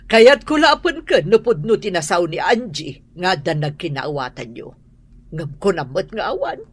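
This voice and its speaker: Woman doing a bossy rich mom, husky voice swinging up and down, scolds hard. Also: fairly low pitch, lively intonation, normal speed. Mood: warning. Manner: commanding, scolding. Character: stern wealthy mother, proud and controlling. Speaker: Madam Carla, employer and mother. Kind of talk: radio drama acting.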